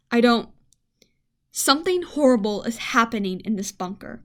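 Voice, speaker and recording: A woman acting a worried scientist and mother in an amateur radio play, speaking in an emotional, halting, conversational way. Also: sits high, fairly bright tone. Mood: anxious, fearful.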